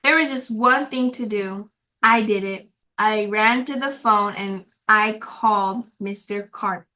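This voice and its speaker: A woman reading a story aloud to children in an expressive, emphatic way, addressing young listeners directly. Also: medium pitch, takes it slowly.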